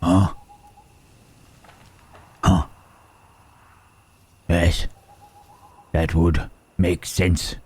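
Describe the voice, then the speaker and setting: Man acting wary gentle creature, very slow, low-pitched, hushed, halting, old-fashioned wording, intimate ASMR roleplay. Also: little pitch movement, breathy edge, exaggerated voice. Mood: thoughtful, grave. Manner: halting, formal archaic phrasing, questioning "huh".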